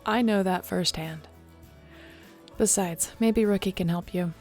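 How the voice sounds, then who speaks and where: A woman acting in an audio roleplay as a warm young shapeshifter clan leader, talking casually and sincerely. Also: lowish voice, unhurried, faint music behind. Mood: easygoing, encouraging. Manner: casual, sincere, conversational storytelling.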